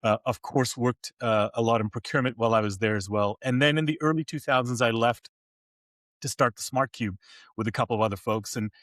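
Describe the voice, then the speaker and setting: A man's voice: medium pitch, a little fast, a bit bright, no echo. A man in his later years who grew up in Hong Kong, answering interview questions thoughtfully with frequent restarts. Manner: thoughtful, many fillers and restarts. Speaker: podcast guest.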